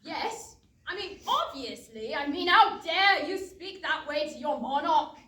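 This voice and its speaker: A woman's voice: sits high, lively intonation, measured pace, pushed and bright, full character voice. Haughty impostor king acted by a woman, roomy stage. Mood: imperious. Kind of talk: theatrical staged comedy reading. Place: indoor studio theatre. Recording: roomy, distant stage pickup.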